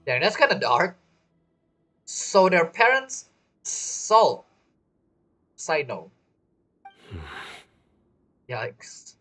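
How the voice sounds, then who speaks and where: A man's voice: on the high side, wildly swooping pitch, drawn-out words, long pauses, bright, forceful tone, breathy edge. A young man giving casual, informal live commentary as a gaming streamer, reacting wryly.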